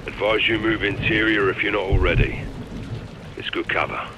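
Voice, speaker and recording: A man voicing a grim, battle-hardened British special forces lieutenant in a video game, terse and deadpan over radio. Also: lowish voice, normal speed. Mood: terse, instructive. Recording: noisy radio with rain and ambience.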